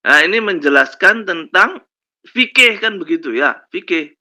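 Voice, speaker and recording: A male lecturer with a slight cold, telling stories slowly with many fillers in a lesson on Islamic law. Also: high voice, expressive, bright, forceful tone. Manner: slow storytelling with many fillers and "kan begitu ya". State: has a cold, coughs. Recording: dull lo-fi recording.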